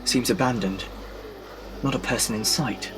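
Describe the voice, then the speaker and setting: A man's voice: medium pitch, normal speed, noisy background, lightly acted. Tense, protective male survivor played by a man. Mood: cautious. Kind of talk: dramatic audio drama acting.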